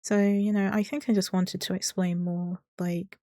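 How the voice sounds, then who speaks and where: A woman's voice: lowish voice, little pitch movement, normal speed, dark tone, no room sound, studio quality. A woman giving an unscripted personal update to her followers in a candid, rambling, hesitant way. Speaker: streamer and video creator.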